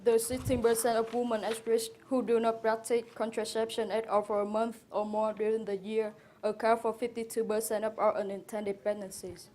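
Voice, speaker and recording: A woman's voice: a little high, little pitch movement, moderate pace, hardly any pauses, mellow, slight background noise. A young woman reading out statistics from a script in a factual, recited way. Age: young adult. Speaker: student performer.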